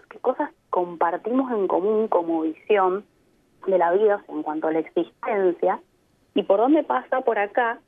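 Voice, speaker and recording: An Argentine woman from Mendoza, a guest on a radio interview, speaking thoughtfully and explaining her points, often checking in with short tag questions. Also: mid-range voice. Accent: Argentine Spanish. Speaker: guest, immigrant sharing experience. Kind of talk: reflective radio interview by phone. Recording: tinny phone line.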